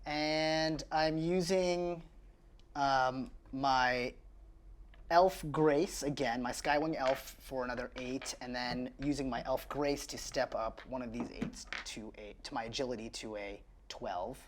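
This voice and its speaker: A man playing a tabletop roleplaying game, chatting casually and hesitantly thinking his moves out loud. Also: medium pitch, slow pace, a little hiss or hum. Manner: animated, hesitant while working things out.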